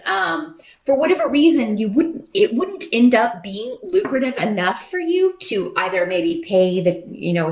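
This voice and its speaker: A woman giving an unscripted tarot reading to viewers in a conversational, rambling, reassuring way. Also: mid-range voice. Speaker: tarot reader and channel host. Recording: roomy echo.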